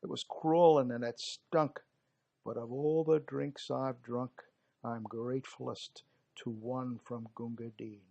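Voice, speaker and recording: A man reciting dramatic verse as a gruff working-class British soldier of colonial India, theatrical and emphatic, with slangy, clipped word endings. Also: mid-range voice, steady tone, takes it slowly, dark tone. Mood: grave, fervent. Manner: theatrical, emphatic, dropped g's and slangy phrasing.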